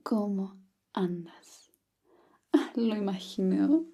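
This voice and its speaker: A woman whispering softly and playfully as a language tutor in an ASMR roleplay. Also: mid-range voice, animated, very slow, husky. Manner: soft whisper, playful teasing.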